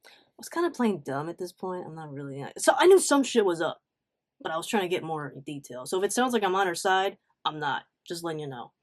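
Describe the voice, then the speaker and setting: A woman telling a casual story-time vlog in a blunt, slangy, animated way, with frequent conversational asides. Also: lowish voice, brisk. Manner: animated, blunt, swearing, acts out quotes. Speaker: online storyteller.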